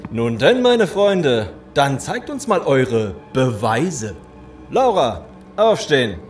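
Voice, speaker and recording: An actor playing a menacing, condescending gang leader with mock-courteous threats in a German audio drama. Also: male voice, medium pitch, sing-song, takes it slowly, faint music behind. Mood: commanding.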